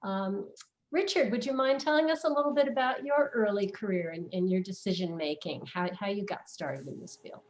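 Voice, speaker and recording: A woman's voice: mid-range voice, fairly quick, slight background noise. A woman moderating an online panel in a conversational, encouraging way over a video call.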